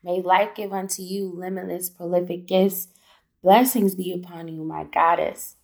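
A woman with a slightly low voice recites spoken word poetry. She speaks in deliberate short phrases, like an incantation of blessings. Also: flat delivery, creaky, a little mellow.

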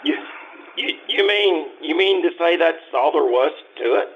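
A man's voice: fairly high pitch, expressive, moderate pace, narrow, tinny audio. A man playing a priggish, indignant, stammering fiancé with formal speech in an old-time radio play. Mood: flustered. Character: stuffy, indignant jilted fiancé, priggish and flustered.

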